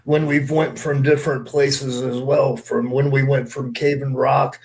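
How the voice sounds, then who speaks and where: A man with a medium-pitched voice chats on a podcast panel. His delivery is laid-back and anecdotal, like casual talk among regulars. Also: little pitch movement, a little slow, darkish tone, rough recording. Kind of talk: casual chat.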